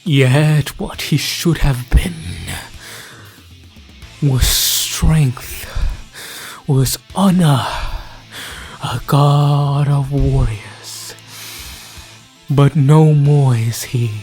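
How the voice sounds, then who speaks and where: A theatrical narrator delivers grim fantasy lore at a very slow, deliberate pace. The delivery is grandiose and ominous, often dropping toward a hushed whisper. Music plays underneath the voice. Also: mid-range voice. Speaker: narrator of a fantasy audio drama. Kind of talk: dramatic scripted lore narration.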